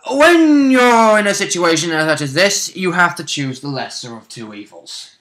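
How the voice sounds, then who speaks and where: A man's voice: high-pitched, animated, moderate pace, fairly bright tone. A man co-hosting as a player, chatting casually about a tabletop role-play game. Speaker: player and co-host.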